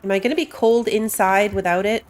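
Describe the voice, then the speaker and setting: A woman streams a game with casual, chatty commentary. Her voice sits at a medium pitch for a woman. She thinks aloud as she plays, talking informally to those watching. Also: fairly even intonation, a little fast. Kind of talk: casual live gameplay commentary.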